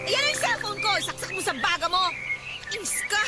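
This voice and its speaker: Very high-pitched, irritable girlfriend. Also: lively intonation, moderate pace. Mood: defensive, scornful. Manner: whiny, snapping, exasperated. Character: irritable, scornful, discontented Filipino girlfriend. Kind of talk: radio drama acting. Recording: music under the voice.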